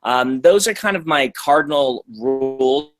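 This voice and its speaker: Chatty man pausing with ums. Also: on the high side, animated, over music. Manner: thoughtful, frequent ums and restarts. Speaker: author being interviewed. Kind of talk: casual interview answers.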